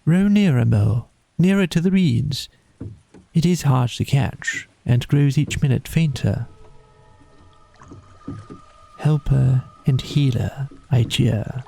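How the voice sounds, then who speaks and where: A man's voice: mid-range voice, a little slow, vocal fry, warm tone, slightly rough. A man voicing a dreamy, languid, poetic male water rat in a storybook, with a murmuring, rapt manner. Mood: rapt, urgent.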